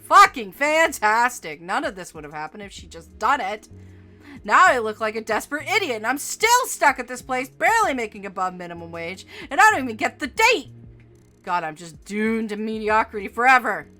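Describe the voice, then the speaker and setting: A woman's voice: on the high side, lively intonation, normal speed, sharp tone, soft background music. A woman voicing a short-tempered young woman with a tail, bitter and swearing in a storybook reading. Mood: furious.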